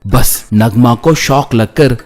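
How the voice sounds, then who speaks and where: A male narrator reads a scripted moral tale. He goes at a slow pace in a mid-pitched voice, over faint background music. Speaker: story narrator.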